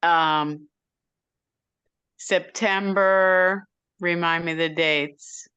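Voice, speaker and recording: A woman's voice: on the low side, fairly even intonation, very slow, sharp tone, smooth, dry recording, muffled recording. A woman introducing herself in a group meeting, chatty and friendly as she talks about her farm. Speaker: farm owner, meeting participant.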